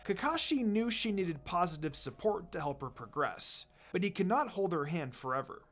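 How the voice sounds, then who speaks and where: Fan fiction narrator, scripted storytelling, mid-range pitch with lively movement, background music. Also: moderate pace.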